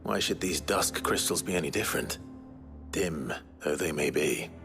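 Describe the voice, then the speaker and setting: An actor playing a grave, resolute young warrior leader with a gravelly, measured voice in a video game cutscene. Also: male voice, a bass voice, over music.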